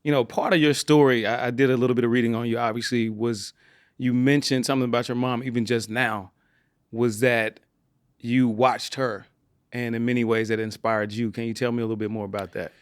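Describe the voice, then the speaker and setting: A man's voice: mid-range voice, little pitch movement, a little fast, smooth, dry recording, clean studio sound. A man hosting an interview, friendly and affirming, answering with short agreeing replies. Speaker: host. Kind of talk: casual interview talk.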